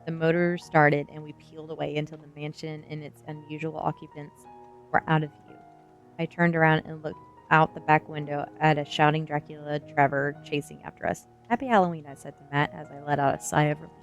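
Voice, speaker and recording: Low-voiced woman streamer, music behind. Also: level tone, brisk, dull audio. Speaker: streamer reading a novel aloud. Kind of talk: ASMR book reading, dramatic first-person narration; casual chat to stream viewers.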